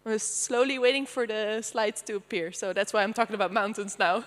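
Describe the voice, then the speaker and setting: A woman's voice: medium pitch, fairly even intonation, fairly quick. A woman from the Netherlands with a Dutch accent, presenting her artistic research at a panel in a loose, chatty way with many fillers. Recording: reverberant lecture hall.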